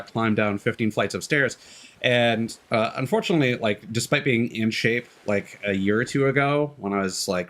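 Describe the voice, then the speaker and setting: A man livestreaming a video game, chatting casually in a rambling, halting way with long pauses. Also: lowish voice, fairly bright tone. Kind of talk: casual chat while playing a game. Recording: faint game music behind. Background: game music.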